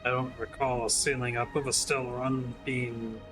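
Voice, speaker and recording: A man's voice: mid-range voice, flat delivery, moderate pace, over music. A man giving casual, wry and sarcastic livestream commentary on a game. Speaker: game streamer.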